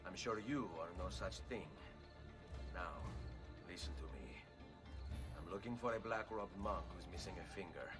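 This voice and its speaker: An actor playing a resolute, courteous assassin with an Italian accent in a video game cutscene. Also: male voice, low-pitched, animated, moderate pace, pauses often, recorded from a distance, over music. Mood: stern, determined. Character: determined Italian male assassin hunting a stolen artifact.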